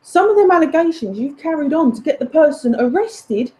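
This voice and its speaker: A woman's voice: high voice, expressive, a little fast, dark tone. A woman delivering an indignant, emphatic personal rant, addressed accusingly to someone who is absent.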